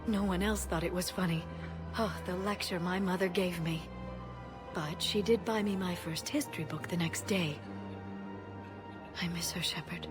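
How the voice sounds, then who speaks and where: An actress voices a female alien scholar for a video game. Her voice is on the low side for a woman, soft-spoken and tender. She speaks gently and wistfully, a little shy, with music playing behind her. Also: steady tone, moderate pace, pauses often, close to an everyday voice.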